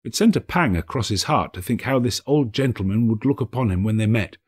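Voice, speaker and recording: Expressive husky male storyteller. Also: lowish voice. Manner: theatrical, measured storytelling. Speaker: actor, audiobook narrator. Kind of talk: expressive literary narration.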